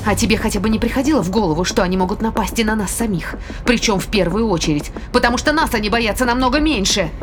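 A woman's voice: medium pitch, fast, hardly any pauses, natural voice. Sceptical, sardonic woman over music, an actress's role. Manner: reproachful, sardonic. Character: sceptical, protesting woman, cautious and sarcastic. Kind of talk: dramatic audio play acting.

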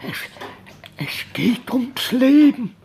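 A timid old priest of a radio drama, fretful and evasive. The voice crackles with fry and moves slowly, grumbling and hesitating. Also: male voice, extremely high pitch, sing-song, a little mellow, heavily acted. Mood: frightened, fretful. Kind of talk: German radio play acting.

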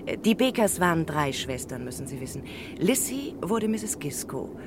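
An actress playing a chatty, gossipy middle-aged former housemaid in a 1960s radio drama. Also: female voice, big pitch swings, moderate pace. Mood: gossipy, confiding. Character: chatty woman of thirty-nine, former housemaid. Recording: 1960s mono radio, loud train noise. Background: rumbling train ride.

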